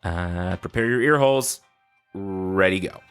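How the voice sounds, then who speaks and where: A man's voice: deep voice, measured pace, music in the background. A man hosting a podcast in casual, rambling solo chat with playful, self-mocking asides. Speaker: podcast host.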